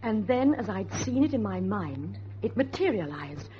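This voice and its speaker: A woman's voice: medium pitch, big pitch swings, normal speed, lightly acted. A woman playing an anxious, determined young niece in a suspenseful radio drama, speaking and narrating in an earnest, literary way. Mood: grave, suspenseful. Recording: muffled old radio recording, faint noise.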